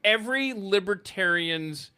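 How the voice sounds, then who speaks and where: A man's voice: sits high, animated, a little slow, bright, forceful tone, smooth. A man co-hosting a podcast in casual, jokey banter, talking emphatically over faint background noise. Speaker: podcast co-host.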